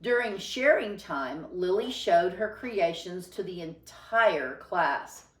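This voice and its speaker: A woman narrates a children's picture book in a fairly low voice. She takes her time, phrasing each line with deliberate emphasis and expression. The recording has a noticeable room echo. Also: animated, fairly bright tone, recorded from a distance. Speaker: storybook reader. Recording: roomy, echoing sound.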